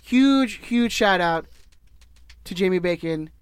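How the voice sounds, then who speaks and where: A high-pitched man co-hosting a podcast, rambling through a sponsor read with loose, self-correcting jokes. The sound is close and dry, with no room around it. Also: lively intonation, takes it slowly, smooth, no room sound. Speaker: podcast co-host. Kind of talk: casual podcast chat, ad read.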